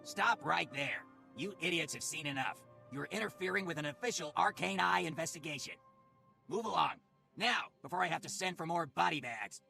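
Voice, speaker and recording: A man playing an officious, menacing secret police officer in a video game, curt, scornful and gravelly. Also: a little high, expressive, normal speed, bright, forceful tone, full character voice. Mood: threatening. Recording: game music under the voice.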